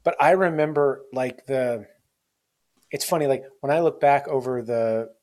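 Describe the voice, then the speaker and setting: A man's voice: medium pitch, unhurried, darkish tone. Rambling self-mocking man in his forties. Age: 40s. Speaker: podcast host. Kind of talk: casual interview chat.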